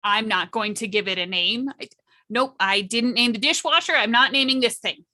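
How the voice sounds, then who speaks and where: A woman's voice: a little high, normal speed, bright, forceful tone. A woman playing a tabletop roleplaying game, chatting casually in a playful, wry way.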